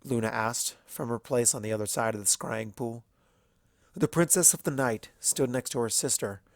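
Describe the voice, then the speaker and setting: Male fiction narrator, mid-pitched, solemn and measured, dry recording. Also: no echo. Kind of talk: scripted dramatic fiction narration.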